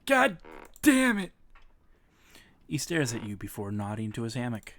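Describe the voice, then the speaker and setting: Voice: medium pitch, wildly swooping pitch, unhurried, a bit bright. A streamer casually narrating and reacting to a story game, exclaiming at choices. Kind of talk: casual let's-play chat and narration.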